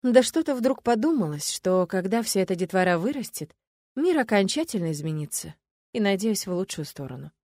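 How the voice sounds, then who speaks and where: A woman voicing a calm, thoughtful grown witch. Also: mid-range voice, brisk, no echo, studio quality. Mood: pensive. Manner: calm, pensive.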